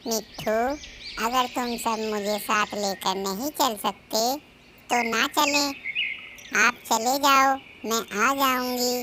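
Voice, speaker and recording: A performer voicing a gentle, protective mother sparrow in a cartoon moral story, worried and pleading. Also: mid-range voice, fairly even intonation, takes it slowly, background noise, close to an everyday voice. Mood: firm, hurt.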